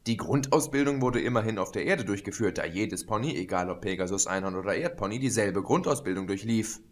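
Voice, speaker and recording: A man's voice: lowish voice, fairly quick. Man reading a fan story aloud. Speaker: audiobook reader. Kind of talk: scripted narration.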